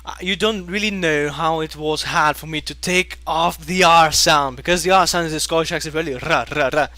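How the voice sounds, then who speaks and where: A man hosting a casual podcast, speaking non-native English with British leanings in an animated, emphatic way. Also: a little high, normal speed, no room sound. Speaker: podcast host and English teacher. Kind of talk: casual podcast chat with anecdotes.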